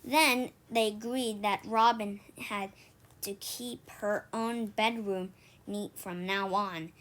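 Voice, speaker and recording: Slow, halting woman reading a children's story. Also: medium pitch, fairly even intonation, fairly bright tone. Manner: halting delivery, stumbles and repeats words. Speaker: storybook reader for an online read-aloud.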